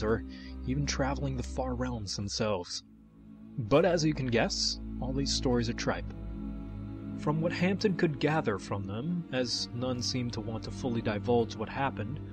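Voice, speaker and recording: A man's voice: medium pitch, lightly acted. A man performing as a genial tale-teller, narrating a scripted fantasy story in a dramatic storytelling style. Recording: music behind the voice. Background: music.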